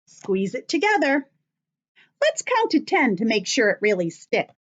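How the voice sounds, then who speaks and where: A woman's voice: a little high, big pitch swings, unhurried, highs cut off. A woman teacher talking young children through things in simple steps, with encouraging questions. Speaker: children's teacher leading craft and story time. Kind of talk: instructional talk to young children.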